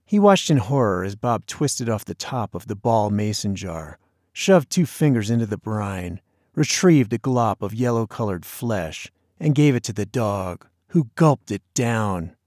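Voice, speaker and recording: A man's voice: on the low side, lively intonation, measured pace, darkish tone, no room sound, clean studio sound. A man narrating a novel in a scripted storytelling style. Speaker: audiobook narrator.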